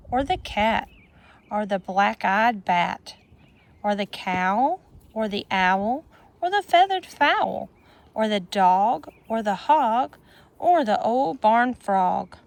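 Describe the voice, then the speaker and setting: A woman reading a rhyming picture book aloud to children in an expressive sing-song manner, with chatty asides, outdoors on a farm with goats. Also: a little high.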